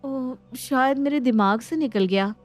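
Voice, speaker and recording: A woman's voice: high voice, measured pace, darkish tone, no room sound, faint music behind. A woman voicing a sly young sister-in-law in a story, putting on innocence and making excuses. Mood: falsely apologetic.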